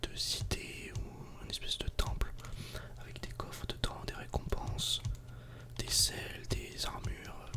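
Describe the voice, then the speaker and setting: Man, whispered ASMR game commentary, breathy, unvoiced, casual, talking straight to the viewer. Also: slow pace. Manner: casual, soft-spoken, addressing the viewer directly. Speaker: ASMR gaming video creator.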